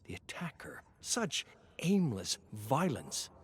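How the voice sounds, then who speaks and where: A male narrator tells a dark story with theatrical weight. He speaks very slowly in a mid-range pitch. His delivery is brooding and often hushed. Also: big pitch swings, gravelly. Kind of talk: dramatic scripted narration.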